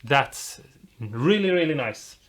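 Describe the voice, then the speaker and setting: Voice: medium pitch, lively intonation, slow. A host from Europe talking viewers through a build in a casual, rambling, encouraging way. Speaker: host of a DIY guitar pedal video.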